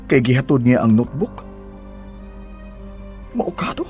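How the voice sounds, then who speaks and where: An actor playing a devoted but firm husband in an expressive, melodramatic radio drama style. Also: male voice, medium pitch, unhurried, faint music behind. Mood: pondering. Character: devoted husband, affectionate at home, firm with rivals.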